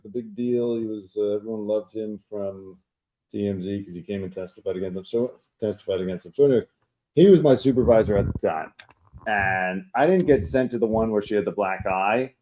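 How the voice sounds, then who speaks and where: A man hosting a casual talk show, telling stories in a relaxed, chatty way. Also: fairly low pitch, brisk, low-quality recording. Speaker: host. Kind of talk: casual chat.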